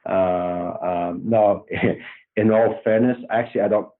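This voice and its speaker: A man's voice: lowish voice, fairly even intonation, takes it slowly. A man with a Belgian accent, a startup founder talking casually as a guest, hesitant with many fillers and restarts. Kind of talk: casual interview. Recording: tinny phone-like line.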